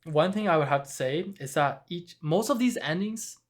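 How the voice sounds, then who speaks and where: A hoarse man reacting to anime endings, his voice worn from screaming. He exclaims loudly and talks straight to his viewers, with faint music playing behind him. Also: a little high, normal speed. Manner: excitable, exclaiming and talking to viewers. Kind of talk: reaction video commentary.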